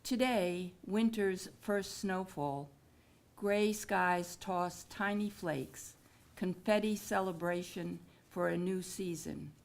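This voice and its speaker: A woman poet at a village hall event, explaining and then reciting her own short poem with unhurried care. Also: on the low side, gravelly. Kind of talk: poetry reading at a public event.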